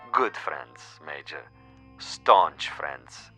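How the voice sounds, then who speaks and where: An actor playing an urbane, sinister older commissar in a radio drama, theatrical and pompous, savouring every word. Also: male voice, a bass voice, bright, forceful tone, exaggerated voice. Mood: smooth menace.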